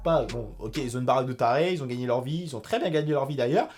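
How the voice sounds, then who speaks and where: A young man doing casual reaction commentary on a reality show, sarcastic and animated, swinging between loud outbursts and mumbled asides. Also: mid-range voice, fast. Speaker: YouTube commentator.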